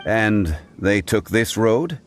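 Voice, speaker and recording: Dignified game gentleman, lowish voice, expressive intonation, thoughtful, formal. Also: slow pace, slight background noise. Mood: pensive. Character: composed, scholarly, dignified gentleman adventurer. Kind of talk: video game character voice acting.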